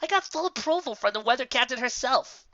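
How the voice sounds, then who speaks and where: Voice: high voice, expressive, brisk, pushed and bright, no room sound, muffled recording, voice pushed up. A narrator voicing a brash, lazy young female pegasus pony with a flippant, dismissive drawl in a cartoon fan story. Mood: smug, flippant.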